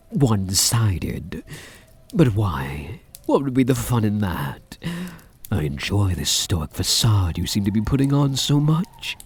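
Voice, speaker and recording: Vampire count played by a man, ASMR roleplay: lowish, swooping, soft-spoken purr, seductive, mocking, possessive, breathy. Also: exaggerated voice. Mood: mocking, amused. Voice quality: husky, breathy. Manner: slow, teasing, mock-sympathetic purr.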